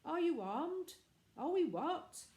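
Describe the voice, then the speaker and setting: A high-voiced, plucky little boy, as a woman reader acts him out. He sounds anxious yet defiant, with polite old-fashioned storybook phrasing. The sound is echoey. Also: very animated, slow pace, a little mellow, heavily acted. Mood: indignant.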